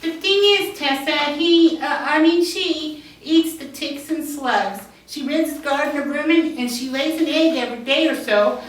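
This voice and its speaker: A woman plays a nervous young countrywoman with a high, hesitant voice in an amateur screenplay reading. She sounds far from the mic in a very echoey room. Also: unhurried, few pauses, a little hiss or hum. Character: young, nervous, pretty countrywoman, mildly apologetic. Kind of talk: amateur screenplay table read.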